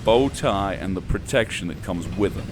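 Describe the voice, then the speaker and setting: A man's voice: low voice, animated, moderate pace, over music. A man playing a surly, scornful bully in a gang of science thugs in an audio drama. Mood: menacing. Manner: curt, scornful.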